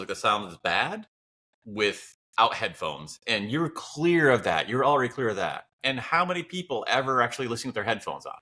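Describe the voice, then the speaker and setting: A man's voice: lowish voice, sharp tone. A man co-hosting a casual podcast, speaking in a supportive, encouraging manner. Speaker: podcast co-host.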